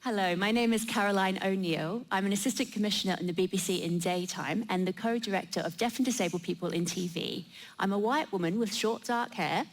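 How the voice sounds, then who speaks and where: A woman's voice: mid-range voice, brisk. A woman sign language interpreter voicing an introduction for a panelist.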